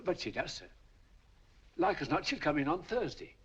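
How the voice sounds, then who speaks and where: Fawning auction clerk, husky, animated, lo-fi old film sound. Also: male voice, on the high side, normal speed, heavily acted. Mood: obliging. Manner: ingratiating and polite, calling everyone sir. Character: obliging, fussy male auction-house clerk. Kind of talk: 1940s film acting.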